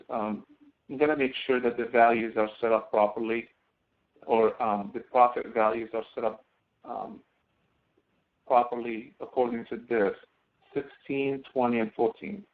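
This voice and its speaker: A man's voice: mid-range voice, fairly even intonation, a little slow, long pauses. A man instructing in a screen-recorded tutorial, explaining step by step and thinking aloud as he works. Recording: tinny phone-like narrow-band audio.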